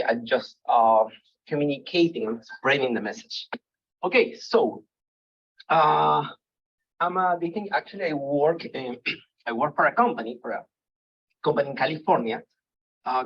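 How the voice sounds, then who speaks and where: Husky Peruvian man presenting in halting speech. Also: medium pitch. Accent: Peruvian Spanish. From Peru. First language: Spanish. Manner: halting, with many fillers and restarts. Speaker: guest speaker. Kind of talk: presentation talk. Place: meeting room. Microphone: hall microphone. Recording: dull lo-fi room recording.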